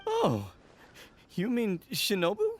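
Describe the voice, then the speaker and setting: A woman voicing an excitable, sentimental young gang underling in a video game, earnest and emotional. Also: medium pitch, slow, full character voice. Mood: puzzled.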